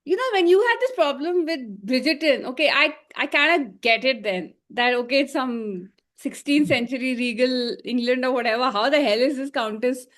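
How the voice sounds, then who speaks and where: A woman with a higher-pitched voice talks casually as a review show co-host. She lays out her opinions emphatically, in a conversational, unscripted way. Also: lively intonation, normal speed, few pauses, a bit bright. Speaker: podcast co-host reviewing a film.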